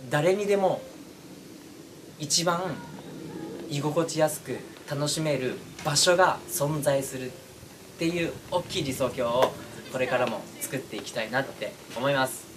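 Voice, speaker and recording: A young man talks informally to his online audience in a mid-pitched, very lively voice that moves up and down a lot. He sits some way from the microphone, with clear noise around him. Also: a little slow. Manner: chuckles between phrases, informal. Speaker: voice-acting content creator. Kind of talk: casual chat to viewers. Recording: distant mic with clear background noise.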